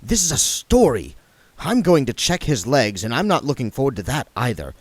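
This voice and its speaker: A calm young man who speaks firmly and evenly, as a male narrator reads him. The voice stays close to the reader's own. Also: medium pitch, normal speed, no room sound, voice pushed up. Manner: calm, firm.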